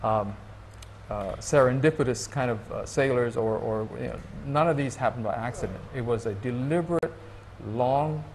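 A man from Hawaii, a guest in an interview, speaking thoughtfully and haltingly with many restarts. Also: medium pitch, a little mellow, a little hiss or hum. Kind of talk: reflective interview talk.

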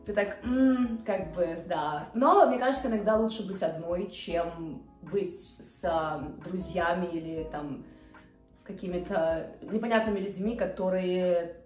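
A woman's voice: fairly low pitch, unhurried, darkish tone. A woman of twenty-eight talking casually to the camera from her living room couch, hesitant and full of fillers. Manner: chatty, hesitant with many fillers, gestures with hands. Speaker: vlogger. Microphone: camera a few feet away. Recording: roomy sound with faint background music.

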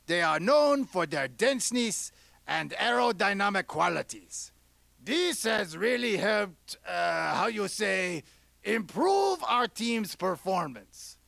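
A man voicing a proud snowball team captain puppet in a comic sports interview, with deliberate, halting phrasing. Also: sits high, creaky, sharp tone, dry recording, heavily acted.

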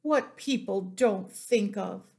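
A woman voicing a composed, motherly female spider in a storybook, brisk and firm. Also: medium pitch, gravelly, darkish tone. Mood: thoughtful.

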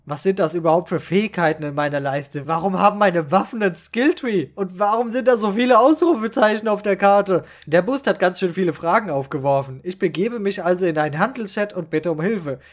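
A man narrates a comic first-person story about online gaming. His voice sits high for a man, and his wording is colloquial and full of slang. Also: fairly quick, few pauses. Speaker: storyteller and channel creator.

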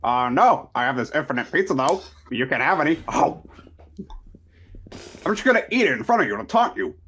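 A woman's voice: rumbling low, sing-song, brisk, a bit bright, exaggerated voice. A woman voicing a sullen, foul-mouthed, awkwardly sentimental young slacker man in an improvised cartoon fandub. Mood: taunting. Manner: blunt, swearing, awkward. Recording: clear background noise, clicks.

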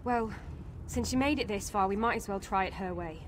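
An actress playing a wary, reluctant female wood elf in video game dialogue, cool, sceptical and deliberate. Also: mid-range voice, lightly acted. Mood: reluctant, resigned. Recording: game dialogue over clear ambient noise.

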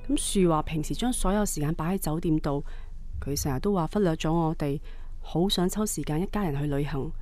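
Actress as a mellow, tender, devoted wife. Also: female voice, low-pitched, close to an everyday voice. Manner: tender, deferential. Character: devoted, soft-hearted middle-aged wife and daughter-in-law. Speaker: radio drama actor. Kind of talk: radio drama acting.

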